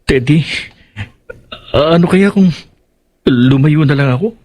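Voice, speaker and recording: A man as high-voiced, anxious young fugitive. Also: takes it slowly, a little mellow, no room sound. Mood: anxious, hesitant. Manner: hesitant, anxious, pleading. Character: frightened, guilt-ridden young man in hiding. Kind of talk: horror audio drama acting.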